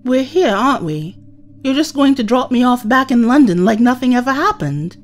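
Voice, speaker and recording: Casting: woman as wounded, emotional young sidekick in audio drama; slightly high voice, wide expressive swings, reproachful. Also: normal speed, soft background music. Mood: accusing, alarmed. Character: hurt, emotional young woman, a time traveller's companion.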